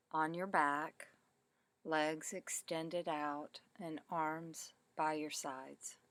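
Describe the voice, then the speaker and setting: A woman leading a guided meditation in a calm, deliberate way, with measured phrases and pauses. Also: low-pitched, no echo. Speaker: meditation teacher. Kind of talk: guided meditation, body scan narration.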